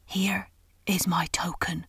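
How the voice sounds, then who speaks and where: A woman reading a storybook aloud, voicing a secretive male beaver in a hoarse, throaty whisper. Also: lowish voice, little pitch movement, slow pace, a bit bright, no room sound, heavily acted.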